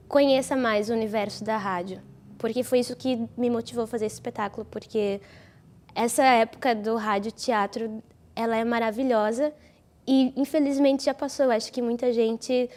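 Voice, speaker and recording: A woman's voice: fairly high pitch, darkish tone. A woman with a Brazilian accent, a theatre performer talking reflectively in an interview.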